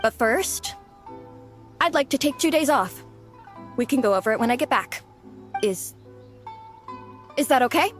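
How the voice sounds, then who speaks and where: A woman playing an overworked young female office worker with a buried temper in an animated game cutscene, earnest and emotive. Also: high voice, measured pace, long pauses. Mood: hesitant, resolute. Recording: music behind the voice.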